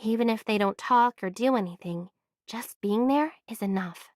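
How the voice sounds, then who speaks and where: A woman voicing a caring, thoughtful, soft-hearted young woman in an anime game. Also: on the high side, moderate pace, no room sound, clean studio sound, lightly acted. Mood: concerned, tender.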